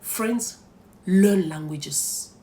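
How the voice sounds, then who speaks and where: A woman's voice: fairly low pitch, very slow, mellow. A middle-aged woman from Cameroon whose first language is French, giving emphatic immigration advice to viewers and posing rhetorical questions. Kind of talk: direct-to-camera advice talk.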